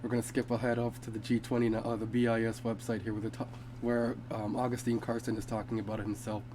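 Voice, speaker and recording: A man's voice: medium pitch, flat delivery, fast, warm tone, slight background noise. A man who sounds congested from being sick, hosting a casual crypto video and reading from web pages with fillers and restarts. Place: home.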